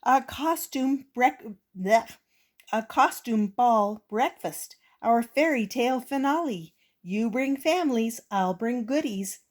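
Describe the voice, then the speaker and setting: A woman voicing a kindly, encouraging female schoolteacher in a storybook, with a gentle manner. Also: fairly high pitch, lively intonation, takes it slowly, pitched above the performer's own voice. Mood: bubbly.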